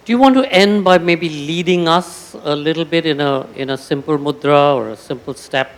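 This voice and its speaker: Man interviewer, slightly high voice, measured questions, long hall reverb. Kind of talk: stage conversation.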